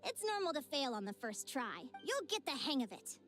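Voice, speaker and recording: Cheerful high-voiced young adventurer girl. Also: very animated, moderate pace. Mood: upbeat, encouraging. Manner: earnest, upbeat, encouraging. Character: cheerful, determined young female adventurer and creature trainer. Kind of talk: video game character acting. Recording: music playing behind the voice. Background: loud game music.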